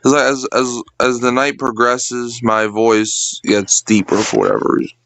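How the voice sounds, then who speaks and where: A young man with a deep, gravelly voice, speaking easygoing as a guest on a casual livestream. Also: lively intonation, moderate pace, fairly bright tone, no echo. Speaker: co-host guest.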